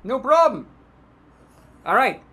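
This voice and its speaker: A man's voice: very high voice, sing-song, slow, bright, forceful tone, husky, exaggerated voice. A middle-aged man playing a shady, casually confident petty crook in an improvised soap opera, breezy and streetwise.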